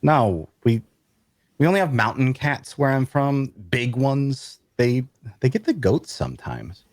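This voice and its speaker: Guarded, grumpy male wanderer played by a man, unhurried and dry with wide pitch movement, close dry sound, fantasy audio drama. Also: big pitch swings, a little mellow, no echo. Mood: wary, dismissive. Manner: dry, curt, hesitant. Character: guarded, grumpy, evasive loner male traveler. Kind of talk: dark fantasy audio drama table read.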